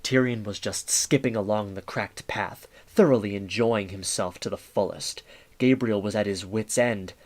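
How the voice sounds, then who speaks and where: A man's voice: fairly low pitch, moderate pace, darkish tone. A man reading scripted story narration as the narrator of an audio drama. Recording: faint music bed.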